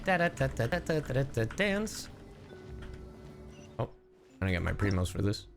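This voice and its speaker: A man's voice: mid-range voice, big pitch swings, moderate pace, vocal fry, soft background music. A man streaming a game, chatting casually with his viewers, joking around and swearing freely. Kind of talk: casual livestream commentary.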